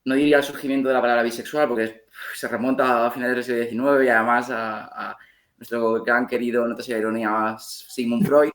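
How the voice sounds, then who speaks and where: A man hosting a podcast interview, relaxed and hesitant as he sets up questions for the guests. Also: medium pitch, a little fast. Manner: relaxed, hesitant, with fillers and restarts. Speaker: podcast host. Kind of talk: casual interview moderation.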